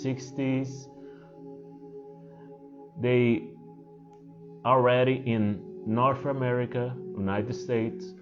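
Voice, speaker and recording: Slow, halting man with Portuguese accent. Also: mid-range voice. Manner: hesitant, halting, many fillers and restarts. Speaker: spiritual teacher. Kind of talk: unscripted spiritual talk to camera. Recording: muffled, with singing bowl music behind.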